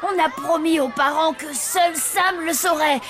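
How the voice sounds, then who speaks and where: Woman as a high-pitched cheeky zombie boy. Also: measured pace. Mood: urging calm. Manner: informal, boyish. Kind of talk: French cartoon dub. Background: background music.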